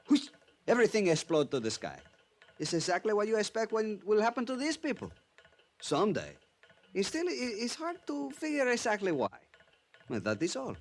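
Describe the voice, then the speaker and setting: A Venezuelan police chief in his thirties for a radio drama. His voice sits a little high and swings freely up and down. He takes his time, feeling for English words through a thick Spanish accent, relaxed and courteous. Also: full character voice. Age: 30s. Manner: leisurely, hesitant broken English with Spanish words.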